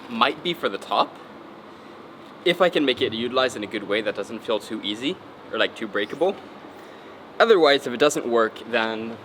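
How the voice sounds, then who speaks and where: Man with a slight cold, relaxed, thinking aloud, indoor gym, clear background hum. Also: mid-range voice. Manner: relaxed, thinking aloud with many ums and likes. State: fighting off a cold, sniffling. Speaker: climbing route setter. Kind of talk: casual vlog narration while working.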